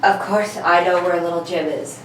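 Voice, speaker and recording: A woman's voice: on the low side, fairly even intonation, normal speed, natural voice. A woman playing a confident, clever young male detective in an amateur comedic radio play reading. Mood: assured. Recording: echoey room, distant mic, faint noise.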